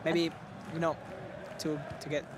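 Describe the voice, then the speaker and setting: A man with an Italian accent, native Italian speaker, doing excitable live esports commentary with frequent laughs. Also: on the high side, a little slow, a crowd in the background, noisy background. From Italy. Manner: excitable, laughs often, non-native phrasing.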